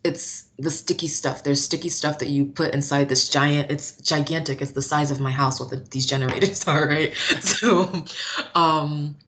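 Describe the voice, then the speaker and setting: A woman's voice: low-pitched, fairly even intonation, moderate pace. A young woman who grew up between Nigeria and the US, with an American accent, chatting as an interview guest who laughs often and fills with "um" and "like". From Nigeria, raised in South Florida. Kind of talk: casual interview conversation.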